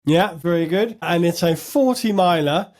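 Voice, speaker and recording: A Dutch-native man hosting an interview in a fairly high voice. He is eager and chatty, and he stumbles over repeated words while he hunts for the right term. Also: unhurried, a little mellow. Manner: enthusiastic, repeats words while searching for terms. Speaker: podcast host. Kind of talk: conversational interview.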